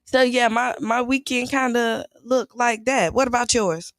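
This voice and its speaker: Hoarse woman co-hosting a morning show. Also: on the high side, fairly even intonation, a little slow, a bit bright. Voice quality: raspy. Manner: chatty, storytelling, laughs easily. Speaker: radio show co-host. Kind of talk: casual morning show chat.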